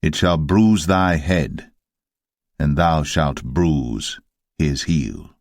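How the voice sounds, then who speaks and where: A man playing a stern, commanding God, solemn, measured and authoritative, in a dramatised scripture reading. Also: rumbling low, no room sound, studio quality, heavily acted. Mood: stern, judging. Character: almighty God, stern commanding male judge.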